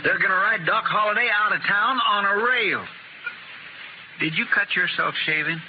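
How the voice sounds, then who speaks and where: An actor playing a folksy, eager, guileless frontier deputy in 1950s radio western style. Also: male voice, high-pitched, normal speed, lightly acted. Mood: alarmed, eager. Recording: muffled 1950s radio recording, heavy noise.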